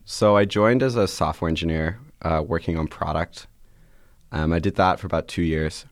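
Low-voiced young man, dry sound. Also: fairly even intonation, moderate pace, no room sound. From Ireland. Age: 20s. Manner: rambling, with frequent "like" fillers. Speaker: podcast guest, startup CEO. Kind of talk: casual interview chat.

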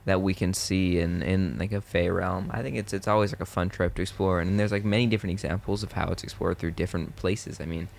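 Soft-spoken young man with a gravelly voice. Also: low voice, fairly even intonation, quick pace, hardly any pauses, a little hiss or hum. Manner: soft, laid-back radio voice. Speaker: podcast co-host, son. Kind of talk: casual podcast chat.

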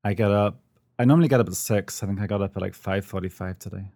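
A man's voice: on the low side, quick pace, creaky, mellow, dry recording. A middle-aged man co-hosting a podcast over a call, talking casually with many ums and a dry, self-mocking humor. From United Kingdom. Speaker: podcast co-host. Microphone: remote call.

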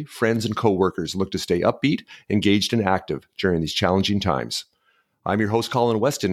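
A man's voice: fairly low pitch, husky. A man hosting a podcast, delivering an upbeat, scripted-sounding introduction before interviewing his guest. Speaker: podcast host.

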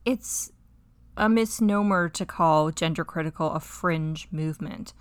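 A woman hosting a podcast, asking measured, direct interview questions. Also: sits low, smooth, no echo. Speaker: podcast host.

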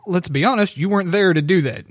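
A man's voice: high-pitched, fast, no room sound, studio quality. A man in casual podcast conversation, talking bluntly and pushing back as he goes. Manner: blunt, challenging. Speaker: podcast co-host. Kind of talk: casual chat.

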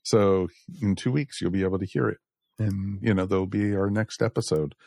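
A man's voice: on the low side, a little fast, vocal fry, darkish tone, no room sound. A man hosting a podcast, chatting casually and addressing listeners in a folksy way. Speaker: podcast host.

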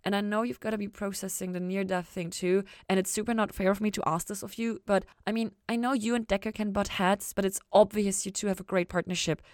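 A woman narrator voicing a perky, warm, outspoken young female scientist in an audiobook story, emphatic and slangy. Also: mid-range voice, steady tone, fairly quick, hardly any pauses, no room sound, clean studio sound, voice pushed up, natural voice. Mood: urgent, concerned.

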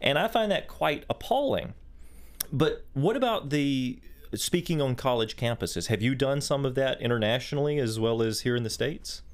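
A man's voice: medium pitch, expressive, normal speed. A man hosting a studio talk show on faith, speaking in an emphatic, preacher-like way. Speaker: host.

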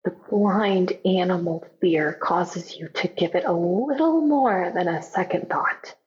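A dull, lo-fi recording of a haunted young woman in a found-footage audio drama. She speaks slowly in a low register, introspective and on edge. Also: darkish tone, slightly rough. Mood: uneasy, paranoid.

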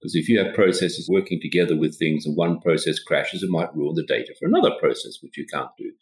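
A man from Sweden who grew up in Australia, a guest explaining ideas over a remote call, hesitant with frequent restarts. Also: expressive. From Sweden, raised in Australia. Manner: halting, restarts often, rising pitch on openers. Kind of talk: casual technical interview.

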